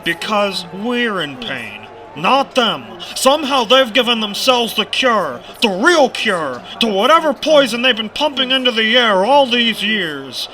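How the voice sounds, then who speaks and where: A man's voice: high voice, big pitch swings, unhurried, few pauses, pushed and bright, exaggerated voice, low-quality recording. A man acting in an audio drama as a bitter, menacing pony rebel leader, theatrical and taunting. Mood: menacing, persuasive.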